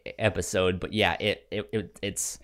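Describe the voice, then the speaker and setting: Halting, stammery casual podcast co-host. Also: low-pitched, little pitch movement, fairly bright tone. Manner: halting, repeats words and restarts often. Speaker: podcast co-host reviewing anime. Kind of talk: casual chat.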